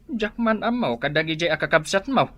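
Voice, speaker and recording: A man's voice: on the high side, animated, fairly quick, fairly bright tone. A man playing a fretful but fond older father in an Ilocano comedy radio drama, with an Ilocano accent. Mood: weary, grumbling.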